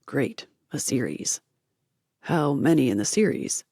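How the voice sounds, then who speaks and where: A woman's voice: rumbling low, very animated, measured pace, creaky, dark tone, slightly rough, no echo, pitched below the performer's own voice, full character voice. A woman voicing a guarded young American man in an audiobook, speaking in a dry, clipped way that deflects small talk. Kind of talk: audiobook character voice.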